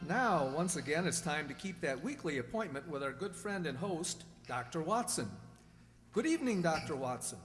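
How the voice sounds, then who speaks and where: A man's voice: on the high side, very animated, moderate pace, music in the background. A man playing a courteous announcer in an old-time radio drama, speaking in a formal presenting style. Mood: formal, welcoming. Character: courteous radio announcer, keen listener to the doctor. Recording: hall-like long reverb.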